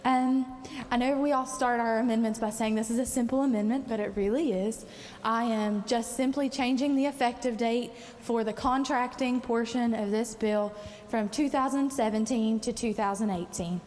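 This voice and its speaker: A woman's voice: fairly high pitch, fairly even intonation, moderate pace, darkish tone, slight background noise. A woman legislator losing her voice, making an earnest, hesitant floor speech in a chamber. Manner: earnest, hesitant with many fillers. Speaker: state delegate. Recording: long hall reverb, faint ambience.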